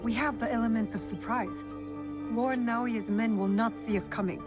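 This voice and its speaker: A woman's voice: fairly high pitch, steady tone, normal speed, lightly acted. A woman playing a young, defiant and determined warrior of feudal Japan in a video game, blunt and challenging. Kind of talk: cinematic video game acting. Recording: faint music behind the voice. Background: faint background music.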